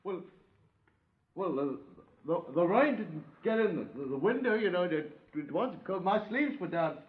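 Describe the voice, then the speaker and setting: An actor playing an evasive, guilty lorry driver in 1950s radio style, stammering and defensive. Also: male voice, high-pitched, animated, a little slow. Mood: cornered, stammering. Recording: muffled old radio recording.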